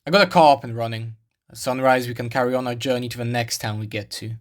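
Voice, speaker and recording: A man's voice: mid-range voice, fast, lightly acted. A man acting as a protective, plain-spoken wasteland survivor in game cutscene dialogue. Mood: reassuring.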